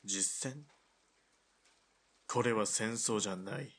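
Man acting a scheming middle-aged army colonel, low husky voice, condescending, radio drama. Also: a bit bright, full character voice. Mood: measured, self-justifying. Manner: measured, condescending officer's speech. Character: smooth-talking, calculating middle-aged army lieutenant colonel.

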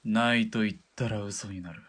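A man playing a laconic, calculating spotter, a sniper's partner, in a measured and understated Japanese audio drama style. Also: fairly low pitch, steady tone, a bit bright. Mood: calm, wry.

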